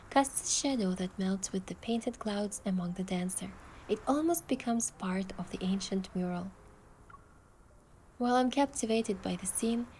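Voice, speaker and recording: A young woman gently narrating a romantic story in the first person as its heroine, in a soft, hushed voice. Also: medium pitch, slight background noise, low-quality recording.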